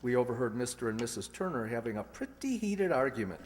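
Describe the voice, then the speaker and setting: Amateur sleuth husband male performer: medium pitch, polite, earnest, hall-reverb radio play. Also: big pitch swings, quick pace, darkish tone, natural voice.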